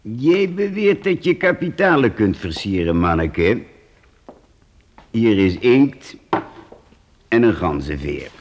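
An actor playing a shrewd, teasing old priest who teaches children in a radio play, probing and mock-stern. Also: male voice, on the low side, sing-song, takes it slowly, pauses often, muffled recording, a little hiss or hum.

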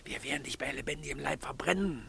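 An actor playing a coarse, menacing male gangster in a radio play, talking gruff slang. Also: a little high, quick pace, bright, forceful tone, dry recording, heavily acted. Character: coarse, threatening male gangster disguised as an old lady. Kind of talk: German radio play acting.

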